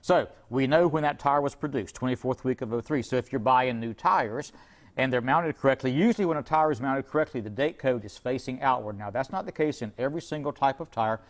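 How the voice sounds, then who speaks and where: A middle-aged man with a medium-pitched voice talks as a guest expert on a local show. He explains practical things informally, in a folksy, conversational manner. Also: a little fast. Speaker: car repair shop expert guest.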